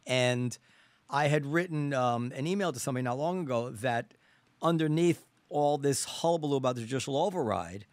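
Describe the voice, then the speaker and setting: A man's voice: mid-range voice, a little fast, no room sound. A man in his fifties in casual podcast talk, argumentative and given to stammered repeats, close on a studio mic. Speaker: podcast host. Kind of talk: casual chat. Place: small indoor podcast studio. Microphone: close studio mic on arm with headphones.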